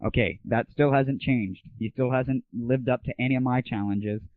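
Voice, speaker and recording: A man's voice: medium pitch, fairly even intonation, normal speed, no room sound. Tinny phone-like audio, dry close mic, man, blunt irreverent show host, casual opinionated ranting, exasperated. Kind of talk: casual opinionated gaming and music rant.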